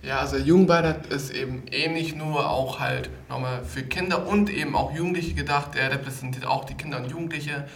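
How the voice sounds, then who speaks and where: A man's voice: on the high side, fairly even intonation, brisk, few pauses, slight background noise. An eighteen-year-old man answering interview questions as a youth council member in an earnest, emphatic manner. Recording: roomy echo.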